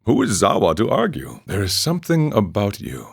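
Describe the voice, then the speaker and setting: A serene, world-weary ascetic monk from a video game. The voice is very low and moves expressively, with measured, formal phrasing and a philosophical bent. The recording is dry and close. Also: male voice, wildly swooping pitch, creaky, husky, no echo, full character voice. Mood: musing, calm. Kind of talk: video game character dialogue.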